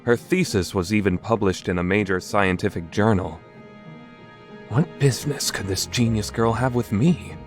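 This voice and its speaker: A man's voice: on the low side, moderate pace, faint music behind, lightly acted. A man playing a paranoid, theatrical young self-styled mad scientist in an anime dub, with pompous, dramatic delivery. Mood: tense narration. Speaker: amateur voice actor. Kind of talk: dramatic fandub performance with first-person narration.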